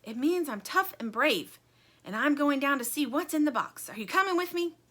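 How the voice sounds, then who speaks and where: Woman as an earnest little toy buffalo, bright-voiced. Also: sits high, expressive, normal speed, pitched above the performer's own voice. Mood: determined. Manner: earnest, self-encouraging, stumbling over words. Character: little male toy buffalo working up courage.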